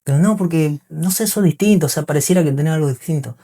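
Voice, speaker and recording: A man's voice: fairly high pitch, fast talker, mellow. A man from Bolivia with a mixed Bolivian and Argentine accent, chatting casually as a podcast guest, explaining things earnestly and often checking that he is understood. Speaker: interview guest, singer and musician.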